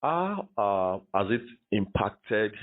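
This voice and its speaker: A man's voice: lowish voice, very animated, slow, dry recording, narrow, tinny audio. A man hosting a podcast, introducing the show and interviewing a guest.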